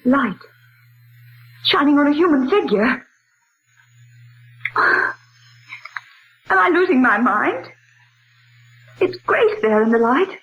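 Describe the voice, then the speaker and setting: An actress playing a genteel, easily frightened woman in a theatrical, halting 1940s radio drama style. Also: high-pitched, a bit bright, exaggerated voice. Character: frightened, genteel woman recounting ghostly canyon ordeal. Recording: muffled, noisy 1940s radio recording.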